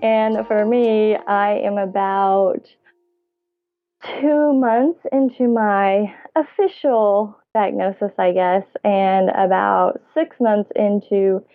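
A woman in her thirties talks with a gravelly, fry-edged voice, chatty and quick to laugh as she tells her story. Her voice comes through a thin, phone-like line. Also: medium pitch, fairly even intonation. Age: 30s. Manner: chatty, laughs often while telling stories. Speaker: podcast guest. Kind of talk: casual interview chat.

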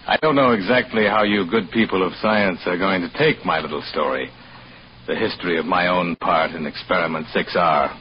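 An actor playing a bitter, resentful hotel assistant manager, narrating a vintage radio drama in a sardonic, measured way. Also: male voice, sits low, a little hiss or hum, natural voice. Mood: wry, ironic. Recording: dull old radio recording, loud music.

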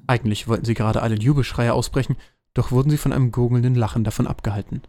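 A man's voice: medium pitch, fairly even intonation, rapid-fire, dark tone. A man narrating a scripted fantasy audio drama as its storyteller. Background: music.

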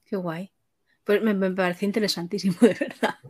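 A woman with a Spain Spanish accent hosts in a casual, chatty register. Her pitch is average for a woman. The sound is dry, with no room echo. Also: fairly even intonation, moderate pace, a little mellow, husky. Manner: informal, chatty. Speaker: interview host. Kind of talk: casual hosting, reading audience questions.

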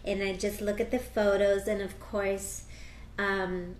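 An American woman speaking as an astrologer and tarot reader, chatting casually in an interview with bursts of excitement. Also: medium pitch, roomy sound, slight background noise, poor audio. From United States. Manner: animated, quick bursts of excitement.

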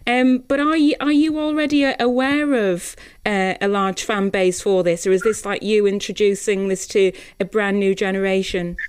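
A woman's voice: medium pitch, little pitch movement, normal speed, clean tone. A woman hosting a casual radio interview, appreciative, often repeating words as she forms her questions.

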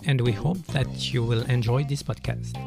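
A man's voice: mid-range voice, fairly even intonation, normal speed, vocal fry, over music. A man hosting a podcast interview, asking questions with hesitant repeated words. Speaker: podcast host.

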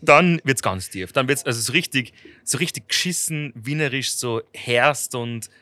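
A man's voice: mid-range voice, moderate pace, pushed and bright, dry recording. A man co-hosting a casual podcast, rambling loosely, swearing casually and laughing often. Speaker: podcast co-host. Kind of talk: casual chat.

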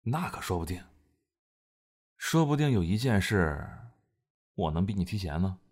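A man acting a cool, teasing young friend in an audio drama, with a husky, laid-back delivery. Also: low voice, sing-song, normal speed, darkish tone, full character voice.

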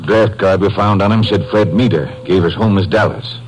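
An actor playing a folksy, doubtful small-town Texas sheriff in a 1950s radio drama. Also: male voice, low voice, moderate pace, close to an everyday voice. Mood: helpful. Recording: noisy old-time radio recording.

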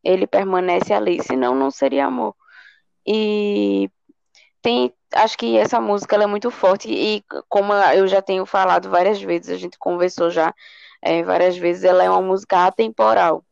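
Woman, gravelly voice, dry dull-sounding recording, relaxed guest chat. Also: mid-range voice, normal speed, no echo. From Recife, Brazil. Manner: relaxed, says "menino" and "sabe?". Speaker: singer, guest. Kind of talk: casual interview chat.

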